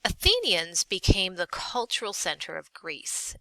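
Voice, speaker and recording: A woman teacher reads a lesson aloud in a bright, fairly low voice. Her delivery is deliberate and expressive, with lively rises and falls across each sentence. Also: no echo, rough recording. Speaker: teacher reading a textbook aloud. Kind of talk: read-aloud lesson narration.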